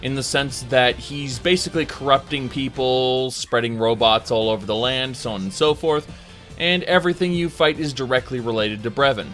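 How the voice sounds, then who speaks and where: A man delivering an informal video game review in a conversational, emphatic way, pausing for dramatic effect. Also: mid-range voice, a bit bright. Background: music under the voice.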